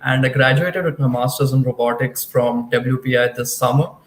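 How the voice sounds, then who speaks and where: Young man, flat even delivery, echoey room. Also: mid-range voice, moderate pace, slight background noise. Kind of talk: casual self-introduction.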